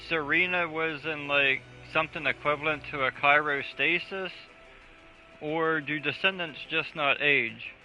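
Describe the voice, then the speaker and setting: A man's voice: on the high side, unhurried, sharp tone. A man chatting casually and bluntly as he streams a game. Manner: blunt, sweary. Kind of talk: casual live stream chat. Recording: dull band-limited mic, game music behind.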